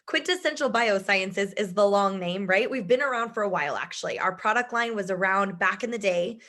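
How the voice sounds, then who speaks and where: A woman in her thirties giving a chatty video call presentation from home, her voice strained from shouting, laughing often. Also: medium pitch. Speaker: business presenter.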